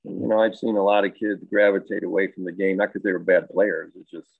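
Gravelly-voiced coach on a tinny line. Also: male voice, deep voice, flat delivery, fast, no room sound. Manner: thoughtful, rambling with many fillers and restarts. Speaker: high school baseball coach and health teacher. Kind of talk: casual interview answers. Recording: dry, tinny phone-like line.

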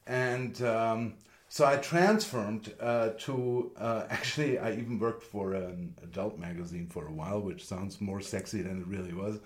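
A man's voice: fairly low pitch, normal speed. A man, a photographer guest, chatting casually and self-deprecatingly in an interview. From Germany and Austria.

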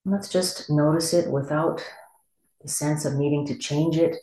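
A meditation teacher leading a body scan in a gentle, unhurried way, leaving long pauses between instructions. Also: mid-range voice, fairly even intonation. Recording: echoey room.

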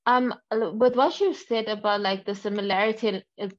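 A woman's voice: mid-range voice, fairly even intonation, moderate pace. A woman from Congo in a casual conversation, talking animatedly with many restarts and fillers. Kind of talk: casual chat. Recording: dull lo-fi sound.

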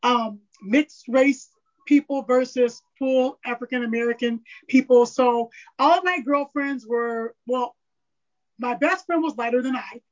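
Woman guest, raspy voice pitched high, open and rambling, dull lo-fi sound. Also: measured pace. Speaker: podcast guest. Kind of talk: casual interview chat. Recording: dull lo-fi audio.

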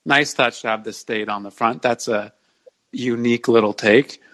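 A man speaking as a podcast guest in casual sports discussion, with measured phrasing like someone announcing a countdown. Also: mid-range voice, fairly even intonation, fairly bright tone.

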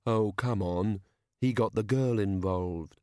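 A man's voice: lowish voice, darkish tone, clear, resonant tone, no room sound, clean studio sound. Man voicing cautious, pedantic robed spirit bureaucrat. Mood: pedantic, bickering. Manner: clipped, officious, deliberate.

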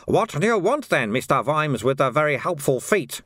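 A man voicing a cheerful, unflappable little monk in an audiobook, with a calm, wry, politely needling manner. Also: a little high, normal speed, no echo, studio quality, voice pushed up. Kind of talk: audiobook character voice.

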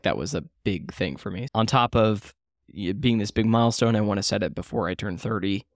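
A man in his late twenties chats casually as a podcast co-host. His voice sits a little low, and he rambles with fillers and restarts, often poking fun at himself. The sound is dry and close. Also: dry recording. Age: 20s. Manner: rambling, self-deprecating, frequent fillers and restarts. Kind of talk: casual chat.

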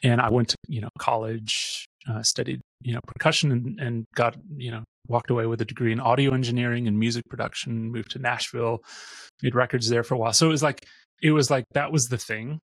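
Gravelly man chatting as a podcast guest. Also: mid-range voice, fairly even intonation, fairly quick, a bit bright, no room sound, poor audio. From born in Austria, raised in Missouri. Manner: rambling, self-deprecating, laughs often. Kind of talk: casual interview chat.

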